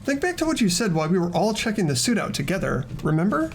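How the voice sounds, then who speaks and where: A man voicing an earnest teenage boy detective who reasons deliberately through clues in a fan-dubbed game. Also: high voice, very animated. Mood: earnest, reasoning. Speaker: amateur voice actor in a group playthrough. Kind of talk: fan dub of a visual novel game.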